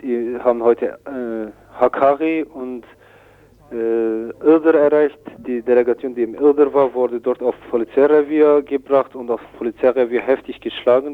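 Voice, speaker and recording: Male phone correspondent, mid-pitched, narrow tinny line, hesitant reporting with frequent pauses. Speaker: delegation coordinator giving a phone report. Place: telephone call to radio studio.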